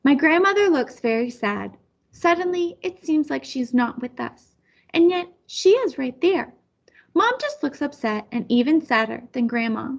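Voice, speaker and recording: A woman's voice: sits high, lively intonation, measured pace, creaky, dull audio. A woman reading a children's picture book aloud as a storyteller. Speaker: storybook reader.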